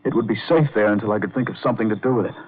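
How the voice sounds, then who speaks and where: An actor playing an anxious, self-justifying piano player who narrates a crime story in a tense, confessional, pleading way, in old-time radio drama style. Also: male voice, on the low side, very fast, slight background noise, natural voice. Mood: guilty brooding. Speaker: narrator and lead character. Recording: muffled vintage radio recording with music.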